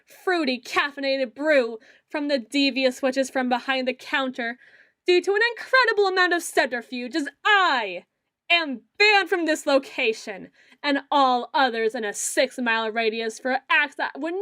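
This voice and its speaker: A woman playing a melodramatic self-styled female dark lord in an ASMR roleplay, pompous and theatrical, grandiose yet a little awkward. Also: high-pitched, no room sound, studio quality. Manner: pompous, theatrical, mock-archaic phrasing with awkward hedging.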